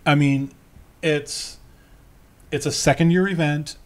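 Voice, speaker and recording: A man's voice: a little high, fairly even intonation, slow pace. A man with an American accent chatting casually as a podcast co-host, close to a microphone in a small room at home. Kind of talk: casual chat.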